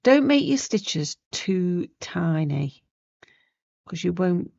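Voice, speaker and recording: Woman host, sniffly, chatty craft tutorial; low, dry close-miked voice. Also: very animated, slow pace, vocal fry, darkish tone, no room sound, muffled recording. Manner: chatty, rambling, loses her thread. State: sniffly with a starting cold. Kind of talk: casual sewing tutorial chat.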